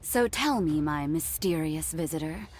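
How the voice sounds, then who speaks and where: A haughty, predatory noblewoman from a video game. Her delivery is very animated and theatrical, teasing her listener with elegant phrasing. Faint music sits behind her. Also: low voice, measured pace. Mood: playful, confident. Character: haughty, playful, predatory noblewoman with a taste for blood. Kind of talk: video game cutscene acting.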